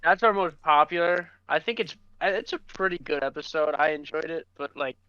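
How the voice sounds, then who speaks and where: A man chatting casually as a podcast co-host, rambling and halting as he goes. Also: on the high side, sharp tone, clear, resonant tone, no room sound, muffled recording. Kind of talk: casual chat.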